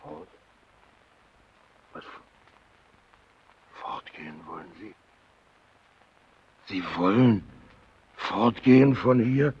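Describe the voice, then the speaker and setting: A man's voice: mid-range voice, lively intonation, extremely slow, long pauses, husky, exaggerated voice. An actor playing a domineering, suspicious watchman who needles and questions insistently, in old radio drama style. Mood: incredulous. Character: domineering, suspicious fellow watchman, bullying and mocking. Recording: dull, band-limited old radio recording.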